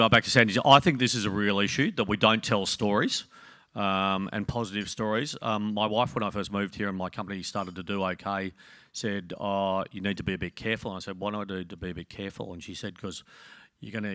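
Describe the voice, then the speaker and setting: A man's voice: fairly low pitch, sharp tone, no room sound, clean studio sound. A man on a business panel, telling rambling anecdotes with many fillers and restarts. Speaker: panel speaker. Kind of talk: panel discussion.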